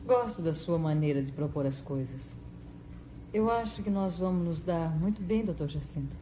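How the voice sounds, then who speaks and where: An actress in her thirties playing a cold, commanding gang-boss villainess in a 1970s telenovela, imperious and deliberate. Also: on the low side, warm tone, slight background noise, close to an everyday voice. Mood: cold menace. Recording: echoey old TV studio, music behind.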